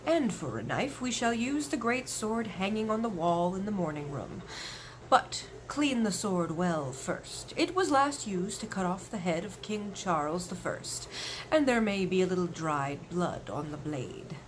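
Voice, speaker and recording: A stiff, decisive male butler from a storybook, acted out by a woman. He gives formal, measured orders with disdainful fussiness and a varied melody. There is faint noise behind the voice. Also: medium pitch, big pitch swings, poor audio. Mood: hushed command.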